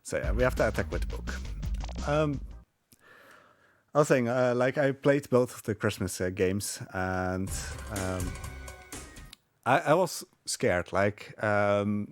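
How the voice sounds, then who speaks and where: A man giving casual live speedrun commentary, hesitant and rambling as he plays. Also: on the low side. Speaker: speedrunner commentating on own run. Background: game music.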